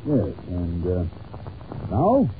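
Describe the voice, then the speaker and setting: A man playing a brisk, commanding gentleman detective in an old-time radio drama, questioning with authority, on a muffled, noisy recording. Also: low voice, wildly swooping pitch, exaggerated voice. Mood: reassuring.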